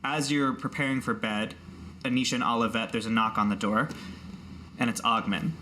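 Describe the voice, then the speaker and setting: Male game master, bright forward voice, medium pitch, casual improvised narration, conversational, faint noise. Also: steady tone, moderate pace.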